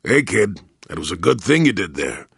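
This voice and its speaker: A man playing a crotchety, wry old hermit Jedi in a video game, grumbling in a folksy, sardonic way with a gravelly, husky voice. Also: deep voice, sing-song, normal speed, fairly bright tone, no room sound, studio quality, heavily acted. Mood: sly, amused. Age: older. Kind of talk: video game character acting.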